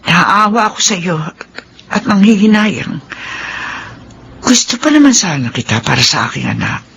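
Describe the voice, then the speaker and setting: A woman's voice: medium pitch, very animated, vocal fry, a bit bright, slight background noise. Controlling Filipino mother, swooping delivery. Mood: cold, coaxing. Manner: deliberate, imploring, melodramatic. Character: controlling, middle-aged wealthy mother, smoothly persuasive, wanting grandchildren. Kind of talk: Filipino radio melodrama acting.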